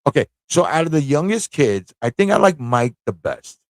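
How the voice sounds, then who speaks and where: Guy hosting a casual livestream, lively up and down voice, rambles a lot, super dry close sound. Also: on the high side, moderate pace, no room sound. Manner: rambling, animated, informal, with frequent rhetorical tag questions. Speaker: livestream talk show host. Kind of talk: casual fan chat on a livestream.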